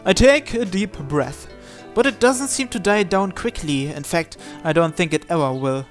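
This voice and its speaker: Higher-voiced man narrating a visual novel. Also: expressive, moderate pace, faint music behind. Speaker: channel host reading visual novel text aloud. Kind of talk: dramatic first-person scripted narration.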